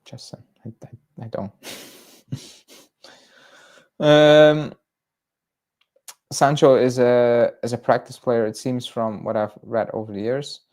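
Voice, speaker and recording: Brief: young man, Belgian-born, chatty livestream host, rambling and casual, mid-pitched. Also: steady tone, takes it slowly, pauses often, a little mellow. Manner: rambling, lots of fillers and restarts, chatty. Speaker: football fan channel host. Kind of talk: casual livestream chat reading viewer comments.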